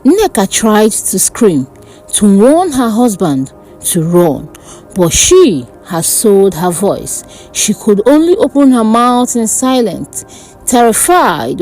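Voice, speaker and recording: A woman narrating a dark fantasy folk tale in a dramatic, deliberate way. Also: mid-range voice, very animated, rough recording. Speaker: storyteller. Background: background music.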